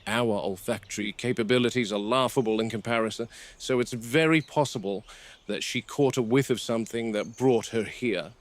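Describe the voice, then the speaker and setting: A man playing a seasoned, watchful game warden, recording an analytical field log in measured, clipped observations. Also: mid-range voice, close to an everyday voice. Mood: curious, wary. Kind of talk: found-footage field log monologue. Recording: noisy VHS-style found-footage audio.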